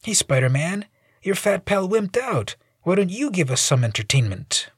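A man voicing a loudmouth male heckler in a crowd who jeers and taunts. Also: sits high, sing-song, normal speed, slightly rough, dry recording, clean studio sound, pitched above the performer's own voice, full character voice. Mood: jeering. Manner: jeering, taunting.

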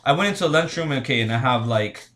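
A man's voice: medium pitch, lively intonation, fast, fairly bright tone. A young man with a Spanish accent, a Spanish speaker from Honduras, chatting loosely as a podcast guest and laughing often. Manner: laid-back, laughs often, says bro a lot. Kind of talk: casual chat.

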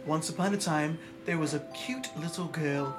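A man's voice: fairly high pitch, moderate pace, music in the background. A man hosting a podcast, chatting casually and telling stories playfully. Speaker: podcast host. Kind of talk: casual chat, playful storytelling.

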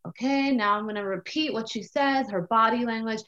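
A woman co-hosting a podcast who chats in an animated way, acting out her own thoughts and peppering her talk with "like" and "okay". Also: a little high, fairly quick, muffled recording. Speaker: podcast co-host. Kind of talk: casual conversational podcast chat.

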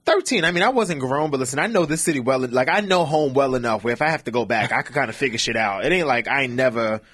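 Fast, animated, husky-voiced man. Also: medium pitch, no room sound, studio quality. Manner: quick, animated, teasing interjections. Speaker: podcast co-host. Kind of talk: casual chat.

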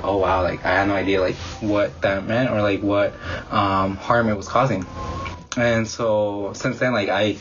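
A young man whose first language is Spanish, answering interview questions in an earnest, halting way full of "like". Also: lowish voice, lo-fi sound, slight background noise. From Mexican-American community. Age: young adult. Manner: earnest, halting, heavy use of "like". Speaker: interviewee, student.

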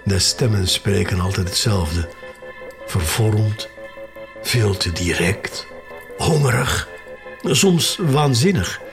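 A man narrating an audio drama in the first person, in a measured, expressive way with pauses between short phrases. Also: on the low side, music in the background.

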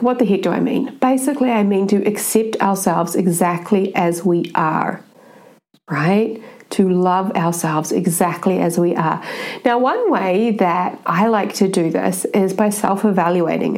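A woman's voice: mid-range voice, darkish tone. A woman with a blocked-up, congested nose, giving an earnest motivational talk on self-love and often asking "right?". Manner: earnest, keeps adding "right?". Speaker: self-help speaker.

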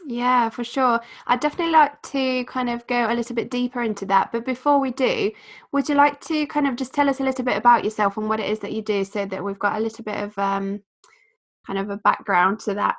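A woman from the UK hosting a podcast interview, asking questions in a gentle, hesitant way. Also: on the high side, fairly even intonation, brisk. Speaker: podcast host. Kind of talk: casual interview chat.

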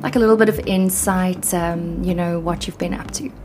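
A woman's voice: lowish voice, fairly even intonation, normal speed, background noise. Upbeat South African woman hosting. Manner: friendly, upbeat, laughs easily, many ums. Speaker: radio podcast host. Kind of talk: casual interview chat.

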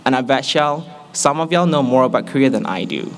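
A man's voice: mid-range voice, lively intonation, brisk, a little hiss or hum. A young man from Malaysia, a student giving an earnest, candid personal testimony to a group, with playful asides to the audience. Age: young adult.

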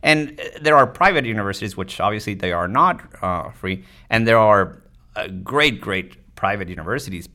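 A man's voice: fairly low pitch, lively intonation, moderate pace, bright, forceful tone. A man from Mexico answering as a physician guest in a conversational interview, explaining things with frequent "you know"s. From Tijuana, Mexico. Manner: explanatory, often tagging points with "you know".

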